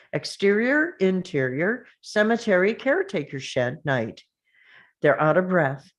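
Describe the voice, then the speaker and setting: Woman, table read narrator, screenplay action lines, steady, slow delivery, fairly low voice.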